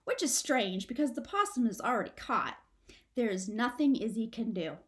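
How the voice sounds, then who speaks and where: A woman reading a children's book aloud like a teacher, with dramatic, expressive shifts of emphasis. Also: on the high side, normal speed. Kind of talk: expressive storybook read-aloud.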